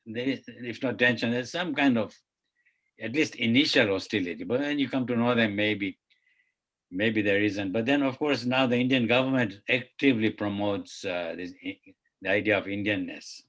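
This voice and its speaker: A man with a fairly low voice speaking as a panelist over a video call. He talks in a reflective, hedging way and often lets his sentences trail off. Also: normal speed, a bit bright. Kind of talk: online panel discussion.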